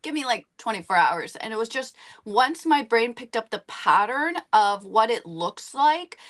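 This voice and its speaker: A middle-aged woman chatting casually as an interview guest, animated, chatty and a little self-deprecating. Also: fairly high pitch, unhurried. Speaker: interview guest, comic book artist.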